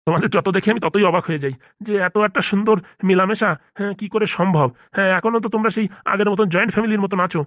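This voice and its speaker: A man's voice: sits high, racing, fairly bright tone, no room sound, studio quality. A man voicing a friendly, slightly bossy male cartoon doctor who talks informally and familiarly, with a sentimental streak. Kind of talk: comedy cartoon dubbing in colloquial dialect.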